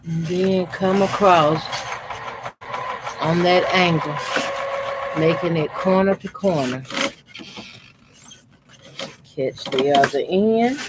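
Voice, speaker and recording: Slow-talking man in his fifties, sewing. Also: very noisy. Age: 50s. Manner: talks unhurriedly while sewing, deliberately keeping his voice lowered. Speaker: quilting channel host. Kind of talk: casual how-to demonstration.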